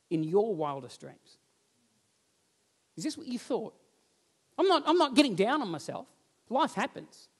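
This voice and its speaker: A man, preaching a sermon on a church stage and telling stories with lively gestures while he walks about. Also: sits high. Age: 40s. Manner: animated storytelling, roams the stage gesturing. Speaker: pastor. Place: church stage with band instruments, indoors.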